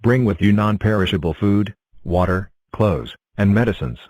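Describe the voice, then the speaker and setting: A man reading scripted emergency weather alerts as a broadcast announcer, in a formal, impersonal and measured manner. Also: on the low side, darkish tone, no echo.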